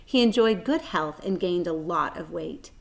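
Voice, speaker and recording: Very animated woman reading a storybook. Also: fairly low pitch, normal speed, vocal fry. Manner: expressive, addresses young listeners. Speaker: teacher reading aloud to children. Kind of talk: storybook narration.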